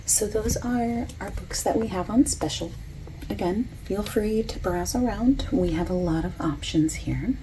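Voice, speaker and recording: A woman speaking softly and sometimes in a whispery breath, gently reassuring, in an ASMR roleplay as a friendly bookstore clerk. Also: mid-range voice, background noise. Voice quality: soft, often dropping into whispery breathiness. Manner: gentle, unhurried, reassuring customer-service talk. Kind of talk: soft-spoken ASMR roleplay.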